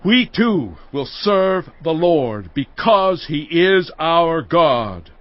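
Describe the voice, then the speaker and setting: An Israelite answering for the people in a scripture drama. The voice is high and very slow, fervently declaiming with drawn-out endings. The sound is somewhat dull. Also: male voice, sing-song, no room sound, heavily acted. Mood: fervent, resolute. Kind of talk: dramatized audio Bible acting.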